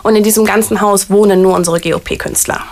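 A woman's voice: medium pitch, steady tone, brisk. A woman working as an artist liaison, answering interview questions in a casual, eager way with lots of fillers. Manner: chatty, eager, frequent fillers like "tatsächlich". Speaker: artist liaison at a variety theater.

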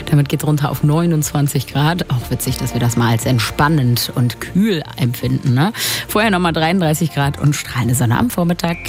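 A woman radio presenter chats in an upbeat, bouncy way, with a slight hoarseness. Her voice sits low. Music plays clearly under her. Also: normal speed, a little mellow. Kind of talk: casual radio traffic and weather. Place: radio studio.

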